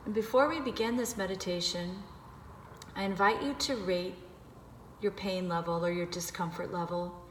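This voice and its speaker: A woman leading a guided meditation, giving gentle, unhurried instructions with pauses. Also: medium pitch. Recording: echoey reverberant room with background noise.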